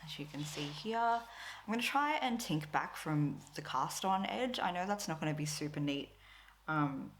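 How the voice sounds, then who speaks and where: A woman's voice: low voice, lively intonation, moderate pace, slight background noise, poor audio. A woman, a Tagalog speaker, chatting casually to camera as a crafting vlogger, rambling with lots of fillers and frequent laughs. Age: 20s.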